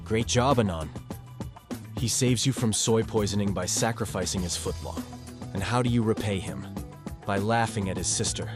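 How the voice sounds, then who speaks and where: A man acts as a tired, socially awkward high-schooler narrating a visual novel in first person. His voice is on the low side, sardonic and self-mocking. Music is clearly audible behind him. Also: normal speed, natural voice. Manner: glum, sardonic, self-deprecating. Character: weary, cynical, awkward male high-school student narrator. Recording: music under the voice.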